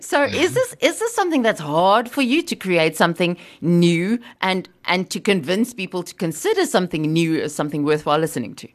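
A woman's voice: on the low side, a little fast, hardly any pauses. A woman interviewing a guest, asking questions in a chatty, thoughtful way. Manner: chatty, thoughtful, lively intonation. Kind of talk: radio-style interview questions.

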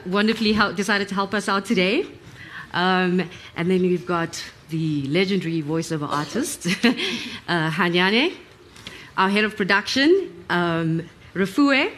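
A woman's voice: medium pitch, a bit bright, a little hiss or hum. A woman, upbeat and encouraging as she hosts a live audience session. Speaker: presenter, audience warm-up leader.

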